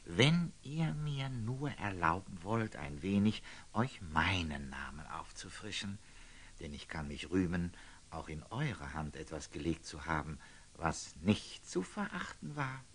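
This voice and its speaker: An actor playing a humble, kindly old lay brother in a radio play, husky and gentle, speaking classical verse haltingly. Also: male voice, deep voice, big pitch swings, full character voice. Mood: modest, friendly.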